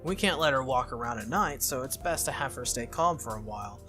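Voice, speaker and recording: A game reader voicing a brisk, teasing, confident teenage anime schoolgirl with a breezy, needling manner. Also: medium pitch, animated, over music, natural voice. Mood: firm.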